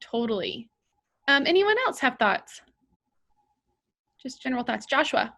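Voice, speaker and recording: Woman drama therapist leads a class discussion with a slightly high voice. She is encouraging and keeps calling on people with follow-up questions. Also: big pitch swings, a little slow, gravelly, fairly bright tone. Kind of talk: leading a group discussion.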